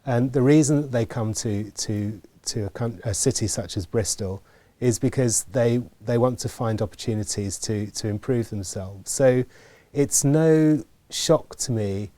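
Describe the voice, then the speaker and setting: A man giving a documentary interview about education, measured and hesitant, often pausing on fillers and repeating words. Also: medium pitch, warm tone, no echo.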